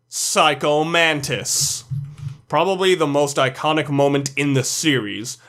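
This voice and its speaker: A man's voice: on the high side, a bit bright. A man giving scripted commentary as the narrator of a countdown video essay.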